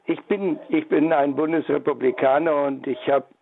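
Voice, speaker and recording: Older man calling in, gravelly phone voice. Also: a little high, fairly even intonation. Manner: halting, rambling, many restarts. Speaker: caller. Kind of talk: call-in radio comment. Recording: tinny phone line.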